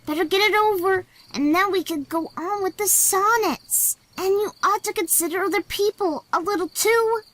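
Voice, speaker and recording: A woman playing an excited young village boy who talks in an eager, insistent way. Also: very high voice, moderate pace, hardly any pauses, low-quality recording. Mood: pleading.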